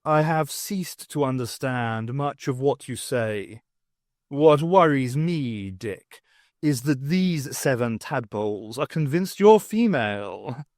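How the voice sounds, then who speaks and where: A man's voice: mid-range voice, animated, slow, darkish tone, no room sound, clean studio sound. A man voicing a languid, haughty male cat who talks in a formal, wordy drawl in an audiobook. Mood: languid disdain.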